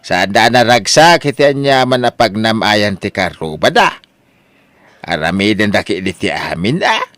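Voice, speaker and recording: Man acting a deep-voiced patient old father. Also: sing-song, normal speed, a bit bright, no room sound. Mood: troubled, advising. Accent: Ilocano. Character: patient, wise elderly father giving gentle advice. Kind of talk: Ilocano audio drama acting.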